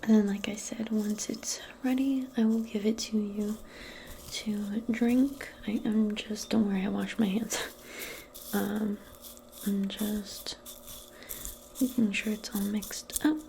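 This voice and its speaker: Soft, slow, whispering woman in ASMR. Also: medium pitch, level tone, a little hiss or hum. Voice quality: soft, breathy, often whispering. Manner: unhurried, gentle, casual murmuring. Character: modern witch giving a calming spell appointment. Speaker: ASMR role-play host. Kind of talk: soft-spoken ASMR personal attention role play.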